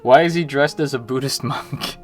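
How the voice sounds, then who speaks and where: A man's voice: mid-range voice, moderate pace, soft background music. Chatty young man on a home stream. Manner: chatty and self-mocking, greets chat viewers by name. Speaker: indie game developer streaming. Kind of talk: casual livestream chat. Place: small room at home, indoors.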